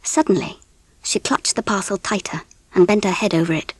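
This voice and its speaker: An actress playing a genteel English mother who narrates a children's story in a measured, expressive way with an English accent. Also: medium pitch, no room sound, lightly acted. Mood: wistful, grave. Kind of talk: scripted audiobook narration with music.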